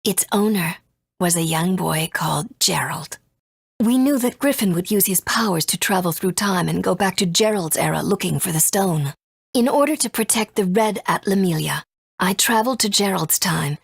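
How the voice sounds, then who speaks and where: A woman voicing a gentle, earnest mother and resistance fighter in a video game, soft and breathy, speaking in a measured, tender way. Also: fairly low pitch, no echo, studio quality. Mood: earnest, solemn. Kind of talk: English video game voice acting.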